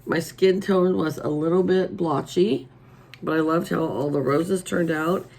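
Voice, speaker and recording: A raspy-voiced middle-aged woman hosting a casual show-and-tell, chatty and homey, filmed at her table at home. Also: on the low side, fairly even intonation, a little slow, darkish tone, rough recording. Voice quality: raspy today. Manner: chatty and homey, many ums. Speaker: coloring channel host. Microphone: overhead camera over the table.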